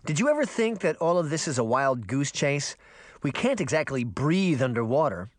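A man voicing a sharp-tongued, skeptical, practical girl in a storybook, challenging everything with pointed questions. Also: a little high, big pitch swings, normal speed, no room sound, studio quality. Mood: sarcastic.

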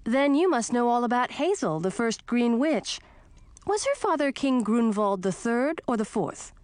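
Woman narrator as young storybook princess, earnest, forthright, insistent, animated intonation, dry sound. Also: a little high, a little slow, no echo, pitched above the performer's own voice. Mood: probing. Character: bold, earnest young princess with magic powers.

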